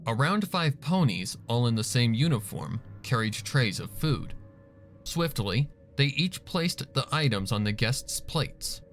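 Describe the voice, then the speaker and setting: A man's voice: mid-range voice, very animated, measured pace. A man reading a story aloud as scripted, dramatic narration. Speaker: fan fiction narrator. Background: background music.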